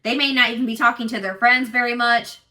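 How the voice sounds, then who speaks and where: Bright-voiced assertive woman tarot reader. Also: on the high side, quick pace. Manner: assertive, loud talker; animated, many restarts and fillers. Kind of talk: casual tarot reading to viewers.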